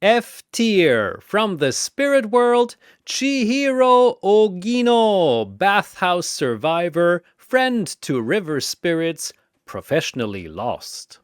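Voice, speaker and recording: A man voicing a flamboyant, bombastic arena showman, proclaiming fighters in a drawn-out, theatrical announcer's style. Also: sits high, sing-song, clean tone, no room sound, clean studio sound, pitched above the performer's own voice, full character voice. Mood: booming, proclaiming.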